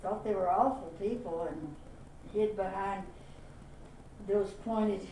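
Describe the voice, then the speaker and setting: An older woman from Florida looks back on her school years in a plain, reflective way, her voice mellow and relaxed. The recording is echoey, and she sits some way from the mic with a faint hiss behind her. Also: medium pitch, slow pace, distant mic. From Miami, Florida. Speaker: interviewee. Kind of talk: oral history interview.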